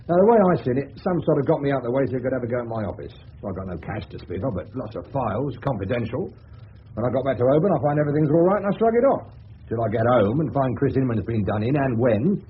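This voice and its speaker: Private eye, English, male actor. Cocky, shifty, slangy, very animated delivery; radio play on a tinny, hissy old recording. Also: medium pitch, quick pace, lightly acted. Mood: indignant. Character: brash, sarcastic, shifty male suburban private detective. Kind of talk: 1970s radio drama acting.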